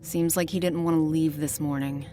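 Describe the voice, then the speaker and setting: A cold, submissive female cult follower in an audio drama, played by an actress. Her voice is low and she speaks matter-of-factly, over faint noise. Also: fairly even intonation, moderate pace, close to an everyday voice.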